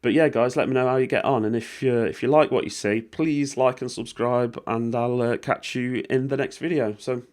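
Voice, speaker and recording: A British man with a voice worn hoarse by a cold, talking viewers through an online walkthrough in a rambling, informal way, with swearing and lots of fillers. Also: mid-range voice, steady tone, normal speed, few pauses, darkish tone. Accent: British English. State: losing his voice from a cold. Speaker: vlogger explaining money-making offers. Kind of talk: casual screen-recording walkthrough.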